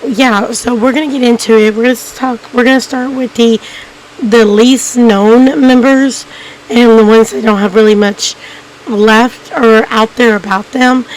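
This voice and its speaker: Hoarse woman, slow rambling talk. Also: fairly high pitch, steady tone, rough recording. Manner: rambling, many ums and restarts. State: hoarse, losing her voice. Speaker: YouTube documentary host. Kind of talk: casual unscripted narration to viewers.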